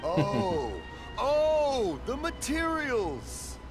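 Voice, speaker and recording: A jolly deaf old fireworks maker. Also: male voice, very high voice, huge pitch swings, very slow, over loud music, heavily acted. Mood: jolly, confused. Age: older. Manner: mishears and answers cheerfully, laughing. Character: cheerful hard-of-hearing old male fireworks maker. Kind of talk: game voice acting.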